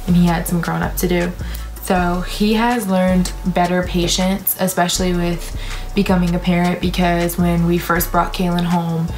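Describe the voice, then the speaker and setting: A woman's voice: on the low side, darkish tone. A woman in her twenties, a young mother, chatting candidly and teasingly in a casual couple Q&A. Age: 20s. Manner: candid, teasing, says "like" a lot.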